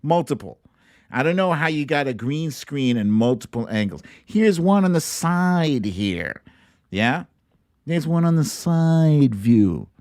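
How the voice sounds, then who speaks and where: A man's voice: on the high side, very animated, slow, darkish tone, no room sound, clean studio sound. A man hosting a casual political commentary livestream in a chatty, incredulous, amused way.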